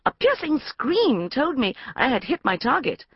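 A woman's voice: sits high, sing-song, moderate pace, husky, no room sound, highs cut off, pitched above the performer's own voice, exaggerated voice. A woman voicing a bold young woman reading an adventure tale aloud with heightened drama. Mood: dramatic.

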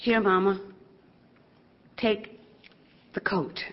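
An actress playing a sassy, proud young blues singer in a stage drama. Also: female voice, medium pitch, steady tone, extremely slow, lo-fi sound. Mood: subdued, tender.

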